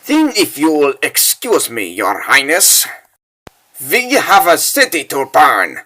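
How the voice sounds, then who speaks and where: A man's voice: fairly high pitch, huge pitch swings, takes it slowly, bright, forceful tone, full character voice. A man voicing a menacing, smug rogue leader in a comic dub, taunting in grandiose phrases. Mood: smug threat. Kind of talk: dramatic comic dub acting.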